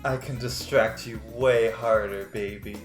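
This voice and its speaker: Woman acting a brazen temptress, extremely low pitch, teasing, unhurried, comic story reading. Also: fairly even intonation. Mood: flirtatious. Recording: roomy echo, music behind.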